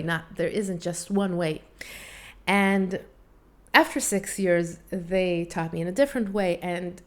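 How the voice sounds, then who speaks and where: A woman's voice: mid-range voice, a little mellow. A woman with a Hebrew accent, a language teacher and vocal coach, speaking English as a second language in an earnest, reflective way, with small slips. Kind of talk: personal talk to camera, one take.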